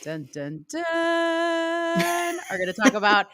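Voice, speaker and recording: A woman's voice: extremely high pitch, sing-song, fairly bright tone, no room sound, studio quality. A woman co-hosting a casual livestream talk show, playful and joking, greeting the chat and running through the topics. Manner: playful, laughing, quick asides. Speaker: podcast co-host.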